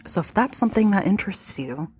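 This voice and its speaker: A woman's voice: mid-range voice, animated, fast, slightly rough, no echo. A woman hosting a casual podcast from behind her shop counter, talking in a chatty way with frequent laughs, her nose stuffed up from allergies. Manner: chatty, laughs often between remarks. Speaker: podcast host and vintage shop owner.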